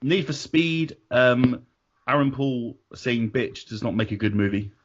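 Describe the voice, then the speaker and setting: A host chats loosely and hesitantly, filling his pauses with ums, in a mid-pitched voice. The sound is muffled and lo-fi. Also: slight background noise. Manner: chatty, hesitant, full of ums. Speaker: podcast host. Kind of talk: casual panel discussion. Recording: dull lo-fi sound.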